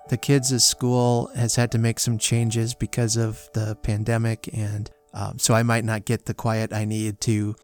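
A man's voice: mid-range voice, a little mellow. Man hosting a podcast over music. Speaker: podcast host. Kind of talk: casual podcast introduction.

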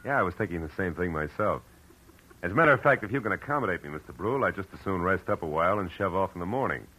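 An actor playing a cool, wary private detective in a laconic, dry 1940s radio style, on an old radio recording. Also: male voice, deep voice, expressive, racing, bright, forceful tone, breathy edge, heavily acted, poor audio. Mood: polite. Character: cool, wary, wisecracking private detective posing as stranded traveler. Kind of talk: hard-boiled 1940s radio acting.

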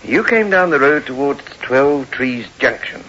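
An actor playing a shrewd police inspector, courteous but insistent and probing, in a sixties radio drama. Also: male voice, medium pitch, animated, measured pace, bright, forceful tone. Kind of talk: 1960s radio drama acting. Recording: dull, hissy old radio recording.

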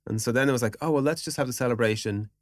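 A man's voice: medium pitch, rapid-fire, no echo, clean studio sound. A man speaking as a podcast guest in an informal, explanatory way, in casual business conversation peppered with filler words like "you know". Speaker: podcast guest, product executive.

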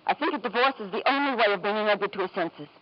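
A woman's voice: medium pitch, expressive, very fast, dull audio, natural voice. An actress playing a bitter, weary wife and anxious mother in a 1950s radio drama, formal and emotional, on an old broadcast recording. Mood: bitter, wounded.

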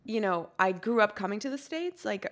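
A woman from Costa Rica hosting a podcast, chatty and animated, laughing easily as she talks. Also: mid-range voice, a little fast. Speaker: podcast host. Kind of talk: casual podcast interview.

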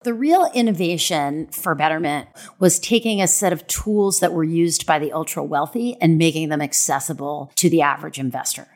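A woman's voice: low-pitched, moderate pace, hardly any pauses. A woman executive explaining her company's service in a promotional spot.

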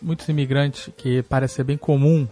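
A man's voice: mid-range voice, steady tone, moderate pace, dark tone. A man hosting a casual podcast, joking and teasing the guests. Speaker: podcast host.

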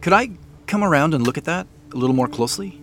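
A man's voice: a little high, lively intonation, quick pace, faint music behind, lightly acted. An actor playing a polite, curious, somewhat hesitant man in a naturalistic audio drama. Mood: distracted, polite. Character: polite, curious, hesitant male customer, Joseph.

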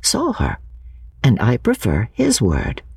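A woman voicing an earnest, obstinate young man in love in an audiobook, speaking in hushed, fervent phrases. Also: very deep voice, huge pitch swings, slow, vocal fry, husky, dry recording, exaggerated voice. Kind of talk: dramatic audiobook character reading.

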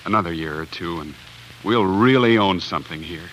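An actor playing a sober, anxious homesteader husband in a 1940s radio drama, speaking gravely and deliberately. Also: male voice, sits low, fairly bright tone, faint music behind. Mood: tender, hopeful. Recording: noisy 1940s radio broadcast recording.

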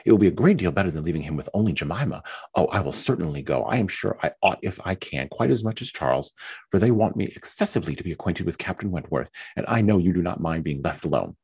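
A man's voice: low voice, animated, quick pace, vocal fry, darkish tone, breathy edge, voice pushed up, heavily acted. A man voicing a fretful, self-pitying young mother who whines and complains in a raised voice. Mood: eager. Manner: complaining, whiny and petulant.

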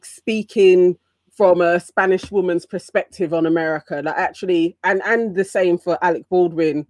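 A woman in a casual panel chat who talks in a measured, analytical way. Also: fairly low pitch, steady tone, a little mellow, no echo.

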